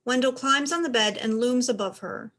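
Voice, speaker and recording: A woman narrates a play script, reading the stage directions. Her voice sits fairly high and she delivers scripted scene descriptions. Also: moderate pace, fairly bright tone.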